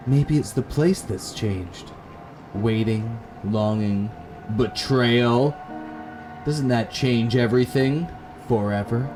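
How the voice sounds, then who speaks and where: Slow, low-voiced man narrating a horror game. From Canada. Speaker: gamer reading a visual novel aloud. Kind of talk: dramatic reading of game narration with casual asides. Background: atmospheric game music.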